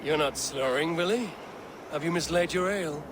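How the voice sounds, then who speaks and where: An actor playing a steady, loyal Viking warrior and trusted friend in a video game, measured and earnest. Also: male voice, on the high side, background noise, lightly acted. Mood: warm, teasing. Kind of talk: dramatic video game acting.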